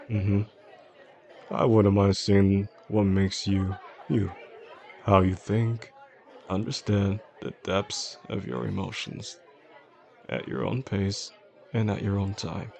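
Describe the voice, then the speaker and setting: A man playing a charming, softly possessive boss in an intimate audio roleplay, speaking attentively and flirtatiously to the listener in an unhurried way. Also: on the low side, vocal fry. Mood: tender, flirtatious. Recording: faint restaurant crowd behind the voice.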